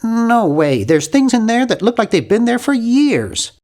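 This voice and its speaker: Casting: nerdy young game hero, nasal, very animated intonation, timid, polite, hesitant, earnestly bookish. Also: male voice, extremely high pitch, measured pace, exaggerated voice. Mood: hesitant, observant. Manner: awkward, hesitant, earnest and fussy. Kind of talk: video game character voice lines.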